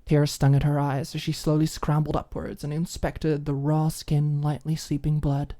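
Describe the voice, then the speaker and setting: A woman reading scripted fiction as an expressive audiobook narrator. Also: deep voice, normal speed, noisy background.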